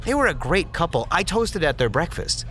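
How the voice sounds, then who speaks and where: A man voices a talkative, pun-loving office worker in an audio drama. His voice sits a little high and jumps around a lot as he jokes and chuckles at his own wordplay. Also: sing-song, brisk, bright, forceful tone, soft background music. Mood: chatty. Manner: joking, chatty, laughs at own puns. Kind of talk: audio drama interview monologue.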